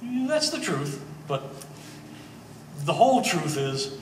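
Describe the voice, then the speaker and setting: A man's voice: a little high, big pitch swings, takes it slowly. A man playing an easygoing old family friend in a stage play, with a wry, hesitant, joking manner. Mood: sheepish. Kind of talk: one-act stage play performance. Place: gallery stage performance, indoors. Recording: echoey hall, distant mic, faint noise.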